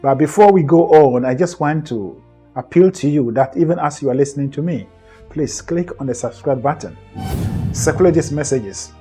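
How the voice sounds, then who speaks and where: Husky, mellow man lecturing. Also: a little high, normal speed, soft background music. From Ghana. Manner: earnest, preacherly, repeats and rephrases points. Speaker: host and co-founder of a mindset group. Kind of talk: motivational lecture to camera.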